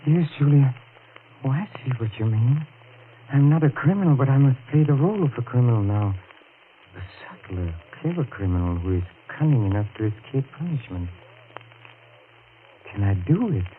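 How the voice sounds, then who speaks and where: An actor playing a guilt-ridden, panicky murderer in 1940s radio melodrama style, halting and overwrought, repeating himself. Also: male voice, mid-range voice, expressive, close to an everyday voice. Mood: anguished, brooding. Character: guilt-ridden, panicky man who has committed a murder. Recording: scratchy, narrow 1940s radio recording.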